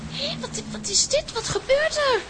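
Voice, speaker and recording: A woman playing a bossy, excitable aunt in a children's adventure audio drama, theatrical and exclamatory. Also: very high-pitched, normal speed. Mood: alarmed. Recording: loud ambient noise behind.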